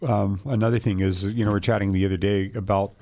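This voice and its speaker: A man's voice: on the low side, little pitch movement, fast, darkish tone. A middle-aged man interviewing guests conversationally as the host of a radio talk show.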